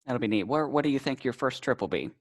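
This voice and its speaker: A man's voice: mid-range voice, lively intonation, quick pace, slightly rough, no room sound. A man with a mid-range voice hosts a live interview over a video call, friendly and upbeat. His sound is dry and close, with no room around it. He laughs easily between questions. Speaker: interview host.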